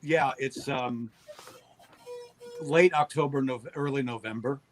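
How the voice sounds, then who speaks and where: A man guesting on an interview as a producer, explaining casually in a halting, careful way. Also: medium pitch, faint music behind, low-quality recording. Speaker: guest, audio drama producer.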